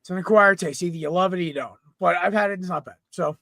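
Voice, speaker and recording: A young man hosting a casual sports podcast from home, a little raspy from smoke, rambling and clearing his throat often. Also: sits high, fast talker, bright, forceful tone, no echo, clean studio sound. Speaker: podcast host. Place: room at home. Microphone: computer mic, no headset.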